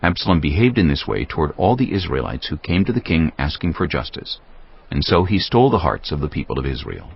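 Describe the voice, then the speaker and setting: A man narrating scripture from a script in a measured storytelling manner. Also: extremely low pitch, very animated, gravelly, husky. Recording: slightly muffled, music underneath. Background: background music.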